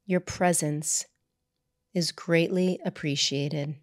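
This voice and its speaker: A woman guiding a meditation as a yoga nidra teacher, in a soothing, measured manner with deliberate pauses between short phrases. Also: deep voice, fairly even intonation. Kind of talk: guided meditation narration.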